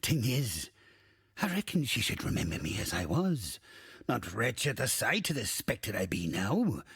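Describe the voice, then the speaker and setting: An actor playing a gruff, salty old undead pirate captain in a video game, swaggering and theatrical, with crude archaic sailor talk and a husky, gravelly voice. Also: male voice, lowish voice, very animated, a little slow, fairly bright tone, dry recording, studio quality, exaggerated voice. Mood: melancholy. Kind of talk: video game character acting.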